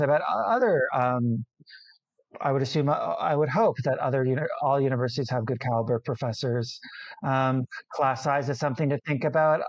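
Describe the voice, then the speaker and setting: A man, an international student, answering interview questions casually, often restarting sentences and filling pauses. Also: medium pitch, no echo, lo-fi sound. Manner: hesitant, many restarts and fillers.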